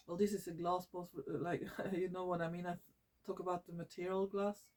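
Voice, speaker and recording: A woman's voice: fairly low pitch, darkish tone. Norwegian woman vlogging, hesitant, many fillers. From Norway. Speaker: environmental vlogger. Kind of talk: casual talk to camera. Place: living room at home.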